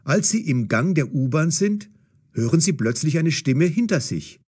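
A man narrating a scripted audio story as storyteller, with a husky voice. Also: fairly high pitch, lively intonation, moderate pace, darkish tone.

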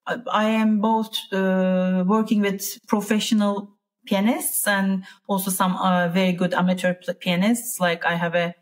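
A woman's voice: mid-range voice, level tone, unhurried. A woman from Turkey with a Turkish accent, talking casually as an interview guest and often searching for words. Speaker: interview guest, piano teacher.

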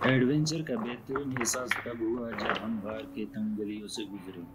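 Calm low-voiced male travel narrator. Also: moderate pace, heavy background noise. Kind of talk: scripted voice-over narration. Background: soft background music.

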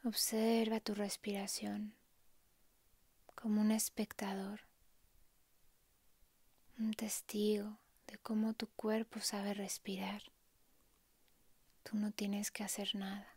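A woman softly narrating a guided meditation in a hushed, calm, breathy way, leaving long pauses. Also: mid-range voice, no echo.

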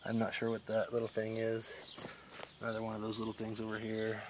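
Low, flat-voiced man filming his garden. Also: a little fast, background noise. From Iowa. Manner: relaxed, rambling, many fillers. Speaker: permaculture vlogger. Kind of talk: casual walk-through narration. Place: outdoor backyard garden. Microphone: handheld camera mic while walking.

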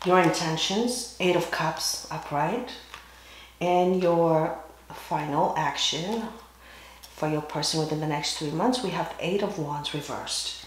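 A woman giving a tarot card reading while sick and losing her voice, pausing to clear her throat and cough. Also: deep voice, a little hiss or hum. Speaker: tarot reader. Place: indoors at a table with candles and crystals. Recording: very echoey room.

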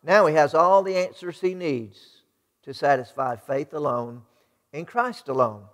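High-voiced American preacher in a reverberant hall. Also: male voice, lively intonation, unhurried. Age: middle-aged. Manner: emphatic, rhetorical questions to listeners. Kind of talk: sermon to a congregation. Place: large church sanctuary, indoors.

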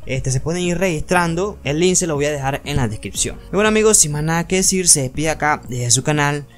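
A man's voice: on the high side, quick pace. A man with a Venezuelan accent narrating an informal screen tutorial, explaining step by step and addressing viewers as friends. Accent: Venezuelan Spanish. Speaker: tutorial channel host. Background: background music.

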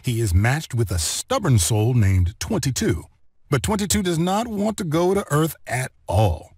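A man narrating a children's read-along story in an expressive, deliberate storytelling manner. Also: mid-range voice, no room sound, studio quality. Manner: expressive, deliberate storytelling delivery with clear phrasing.

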